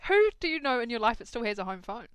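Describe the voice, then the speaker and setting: A woman's voice: a little high, very animated, fast talker, fairly bright tone, smooth, dry recording. A young woman hosting a casual chat, laughing often and swearing casually. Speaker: podcast host.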